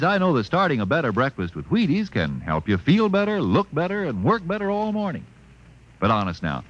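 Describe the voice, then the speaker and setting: A man giving a folksy, chatty, emphatic sales pitch in old-time radio advertising style, on a dull vintage recording. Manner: chatty, emphatic, direct address. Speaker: commercial pitchman.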